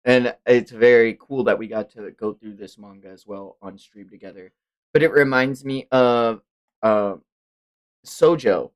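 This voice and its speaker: Male livestream host, informal fan talk, medium pitch, hyperbolic slang and frequent swearing. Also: unhurried, poor audio. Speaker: stream host. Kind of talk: casual livestream chat about manga.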